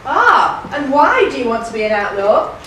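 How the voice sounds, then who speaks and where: A woman's voice: high voice, sing-song, moderate pace, a bit bright. A woman playing a boisterous merry forest outlaw in a stage pantomime, declaiming in an exaggerated way. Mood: bantering. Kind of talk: broad pantomime stage acting. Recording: echoey hall from far off, faint noise.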